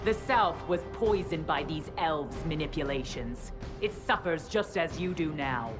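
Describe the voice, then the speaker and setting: A woman playing a stern, self-righteous fantasy villain in a video game, speaking in a measured, ominous way. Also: low voice, expressive, music in the background. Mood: grave, accusing. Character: stern, zealous Qunari female villain, commanding and self-righteous. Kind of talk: dramatic video game acting.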